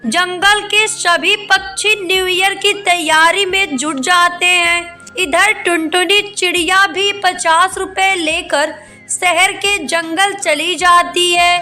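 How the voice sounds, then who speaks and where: A woman's voice: very high voice, steady tone, slow pace, bright, forceful tone, soft background music. A woman narrating a scripted children's cartoon story.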